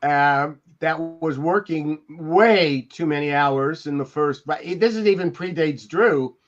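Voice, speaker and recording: An older man talks casually, telling stories in a halting, meandering way. His voice sits a little high for a man. The sound is dull and lo-fi, as on a video call. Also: soft background music. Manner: halting, rambling storyteller. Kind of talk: casual reminiscing. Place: indoor room on a video call.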